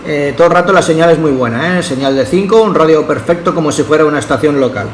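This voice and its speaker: Higher-pitched man in an echoey room. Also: quick pace. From Catalonia, Spain. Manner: hesitant, often checks with "ok?". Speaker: amateur radio operator. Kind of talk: casual ham radio contact, conversational with call signs. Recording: roomy echo with faint noise.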